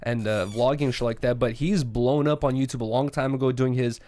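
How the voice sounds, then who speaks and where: A man's voice: mid-range voice, steady tone, fairly quick, warm tone, no echo. A young man from Russia, co-hosting a casual podcast, rambling with lots of fillers and swearing. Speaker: podcast co-host.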